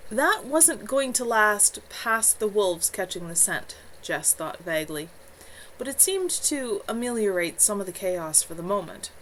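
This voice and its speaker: Author-narrator, woman, measured read-aloud storytelling, faint background noise. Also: mid-range voice, a bit bright, low-quality recording. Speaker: author reading her own novel. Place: home.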